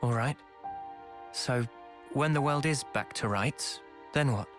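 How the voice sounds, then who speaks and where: Man acting a serious young male soldier, mid-pitched, measured, probing, game cutscene, clear music behind. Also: lightly acted. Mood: pensive.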